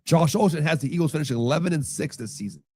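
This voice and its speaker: A man hosting a casual football talk stream, his voice worn hoarse and gravelly from being sick, rambling and repeating himself with lots of fillers. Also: a little high, animated, fast talker, a little mellow, dry recording, clean studio sound. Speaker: podcast host. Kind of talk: casual sports talk reading live chat.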